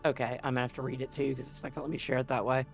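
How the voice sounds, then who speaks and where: A man's voice: mid-range voice, flat delivery, rapid-fire, soft background music. A man acting as game master, narrating a tabletop roleplaying game and reading handouts aloud.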